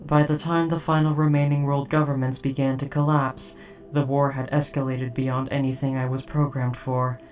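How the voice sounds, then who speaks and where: Audio drama robot soldier, played by an actor: deadpan, measured, protective, monotone delivery, slightly off-mic. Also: medium pitch, recorded from a distance, soft background music, lightly acted. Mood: grave. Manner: formal, measured, literal, deadpan. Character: stoic protective military robot, old, caring, formal. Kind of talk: scripted audio roleplay.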